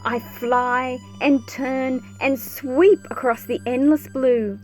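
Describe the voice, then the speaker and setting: A woman reading a picture book aloud as an expressive, measured storyteller. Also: sits high. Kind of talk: scripted storybook read-aloud narration. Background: background music.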